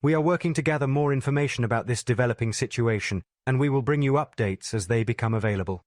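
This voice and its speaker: A man speaks as a television continuity announcer in a very dry recording. His medium-pitched voice delivers scripted emergency news in a formal, measured way. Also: darkish tone, dry recording.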